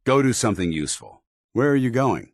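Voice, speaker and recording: Stern army paladin companion from a game, real deep n raspy, super dry sound, formal n bossy. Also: male voice, big pitch swings, brisk, no echo. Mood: stern, angry. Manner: formal, clipped, authoritative. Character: stern, duty-bound male military paladin companion. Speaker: commanding officer. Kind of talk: scripted video game dialogue.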